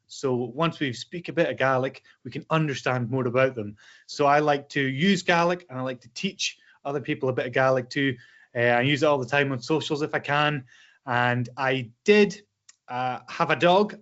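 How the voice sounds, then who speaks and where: A man's voice: mid-range voice, a bit bright. A Scottish man, a presenter and filmmaker, talking easily and simply to young schoolchildren as a guest. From Scotland. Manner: easygoing, explains simply, with ums and uhs. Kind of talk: friendly guest talk to children. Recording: lo-fi video call audio.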